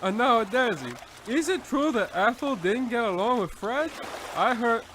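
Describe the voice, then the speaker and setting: A man's voice: very high voice, animated, a little slow, a bit bright, slight background noise, full character voice. A man playing a gullible, starstruck rescuer in an old-time radio comedy, gushing and exaggerated.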